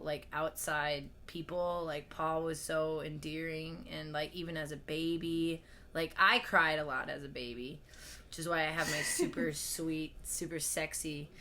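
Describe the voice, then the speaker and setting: A young woman with a hoarse voice, a podcast guest chatting casually at home, laughing often and trailing off mid-sentence. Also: sits low, sharp tone. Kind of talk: casual interview chat. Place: family home.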